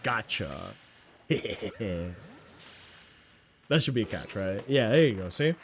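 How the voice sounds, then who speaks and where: A young man streaming a game and chatting casually, with a loud voice and a rambling, profane, self-mocking way of talking. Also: fairly low pitch, lively intonation, slow. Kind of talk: casual live-stream chat while gaming.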